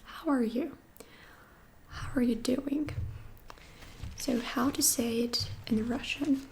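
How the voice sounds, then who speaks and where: A woman giving a whispered ASMR language lesson, softly repeating each phrase slowly and close to the microphone. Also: sits high, fairly even intonation, gravelly, a little hiss or hum. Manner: soft whisper, slow repetitions. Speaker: language teacher.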